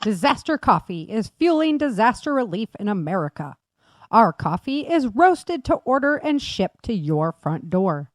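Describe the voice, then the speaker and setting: A woman's voice: mid-range voice, very animated, normal speed, dry recording, clean studio sound. A woman announcer doing scripted announcements and ad reads.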